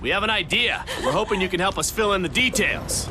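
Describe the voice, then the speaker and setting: Cold, high-voiced male boss. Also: expressive, fairly quick, background noise. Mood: smug, threatening. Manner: curt, domineering. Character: cold, commanding male official running a secret operation. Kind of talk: video game cinematic acting. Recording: music behind the voice.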